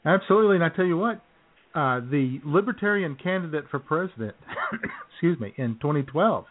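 A man's voice: on the high side, lively intonation, brisk. Man co-hosting talk radio, tinny sound. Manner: chatty, wry, laughs often. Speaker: radio show co-host. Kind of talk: casual political talk radio chat. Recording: tinny phone-like radio audio.

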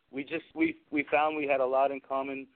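A man's voice: on the high side, huge pitch swings, normal speed, creaky. A man chatting casually as an interview guest, telling rambling, slangy anecdotes about his own working life. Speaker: guest, film special effects and prop maker. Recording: phone-like narrow-band radio call-in audio.

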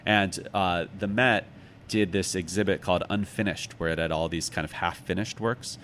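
A man's voice: sits low, fairly even intonation, normal speed, a bit bright. A middle-aged American man talking casually as an interviewed author, reflective and thinking aloud. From United States, Chicago. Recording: clearly noisy background.

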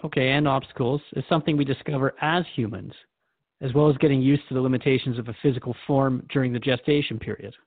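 A man's voice: medium pitch, steady tone, fast, dry recording, phone-quality sound, natural voice. A man playing a thoughtful, friendly radio host who asks probing questions in a conversational radio drama interview. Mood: curious, probing.

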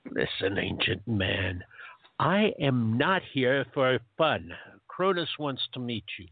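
A man's voice: mid-range voice, very animated, slow, creaky, no room sound, heavily acted. A man playing a grumpy, blunt, sarcastic errand man in a radio play, curt and exasperated. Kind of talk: hobby radio play acting. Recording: dull lo-fi audio.

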